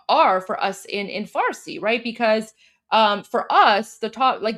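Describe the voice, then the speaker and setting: A woman's voice: fairly high pitch, fairly bright tone. A woman hosting a casual livestream, translating and commenting conversationally, repeating and rephrasing herself as she addresses viewers directly.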